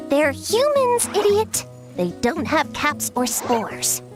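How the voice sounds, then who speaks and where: A woman's voice: very high-pitched, wildly swooping pitch, slow pace, exaggerated voice. A woman voicing a smug, pompous little talking mushroom sage in a video game, condescending toward everyone. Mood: scornful. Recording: music underneath.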